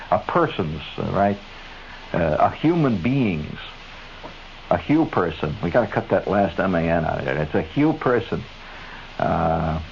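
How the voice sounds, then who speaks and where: Middle-aged American radio host, low voice, wry digressive monologue, hissy 1970s broadcast. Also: male voice, highs cut off. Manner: wry, digressive, chatty asides to studio crew. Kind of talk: rambling late-night radio monologue.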